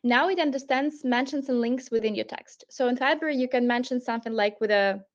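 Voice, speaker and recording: A woman's voice: on the high side, brisk, dull audio. A woman with a Polish accent, whose first language is Polish, giving a casual product walkthrough with chatty, loose phrasing and many fillers. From Poland. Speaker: product presenter.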